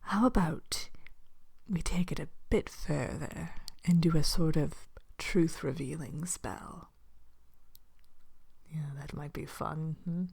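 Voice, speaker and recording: A woman playing a sly, courteous Evil Queen shopkeeper in an ASMR roleplay, speaking in a soft breathy whisper with a genteel, playfully sinister manner. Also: deep voice, full character voice. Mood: soft, businesslike. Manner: slow, genteel, playfully sinister, hesitant murmuring.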